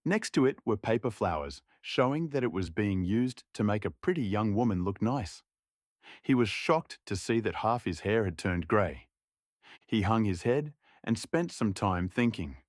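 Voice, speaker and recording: A man's voice: lowish voice, big pitch swings, unhurried, dry recording, studio quality. A man reading a scripted folk horror story as a narrator.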